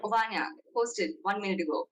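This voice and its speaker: A woman's voice: medium pitch, fairly quick, a little hiss or hum. A young woman with a South African accent, chatting casually as a podcast guest in a self-deprecating, talkative way. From South Africa, Johannesburg and Durban. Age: young adult. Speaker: podcast guest, content creator. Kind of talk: casual interview chat.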